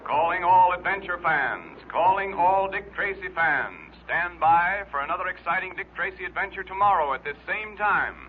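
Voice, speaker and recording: A man's voice: high voice, expressive, normal speed, few pauses. A man announcing like a police dispatcher in 1930s radio style, on a tinny, noisy recording.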